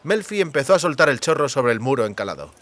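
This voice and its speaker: A man's voice: mid-range voice, big pitch swings, quick pace, fairly bright tone, dry recording. A man narrating a fantasy novel as a scripted literary audiobook.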